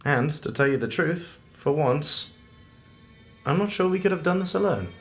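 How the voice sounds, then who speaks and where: A calm, enigmatic male agent in an audio drama. His speech is measured and formal with a dry wryness, set against clearly audible music. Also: fairly high pitch. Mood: gently wry. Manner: measured, formal, gently wry. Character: calm, mysterious male agent guarding against time distortions.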